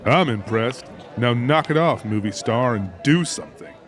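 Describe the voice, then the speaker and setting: A man's voice: medium pitch, expressive, moderate pace, some chatter behind, close to an everyday voice. A man playing a big, sardonic tough with a pompous, wordy, mock-formal manner in an audiobook drama. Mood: needling.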